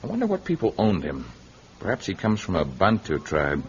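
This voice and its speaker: A man's voice: deep voice, wildly swooping pitch, brisk, heavily acted. A man playing a confident, good-humoured jungle hero in an old radio drama. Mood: amused, teasing. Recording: muffled vintage radio recording.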